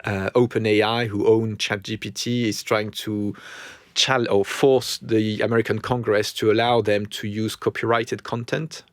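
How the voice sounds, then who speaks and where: A man's voice: on the low side, flat delivery, normal speed, no echo, studio quality. A middle-aged man with a French accent, a podcast guest chatting casually, often restarting and repeating words as he thinks. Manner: thoughtful, frequent restarts and repetitions. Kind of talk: casual conversation.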